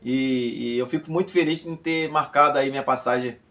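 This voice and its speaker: A man in his fifties from Bahia, a former footballer calmly recounting his old club season, with many fillers and repeated words. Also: medium pitch, normal speed. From Brazil, Bahia. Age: 50s. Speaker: former footballer giving a testimony. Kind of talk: recorded personal testimony.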